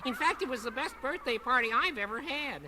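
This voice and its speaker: A woman's voice: high-pitched, lively intonation, brisk, background noise. A woman voicing a polite, bashful child puppet on a children's record. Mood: bashful, grateful.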